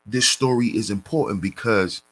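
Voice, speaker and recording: A man hosting a commentary channel, reading a news story and lecturing on it in a deliberate, preachy way, calling his listeners brothers. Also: on the low side, a bit bright, poor audio.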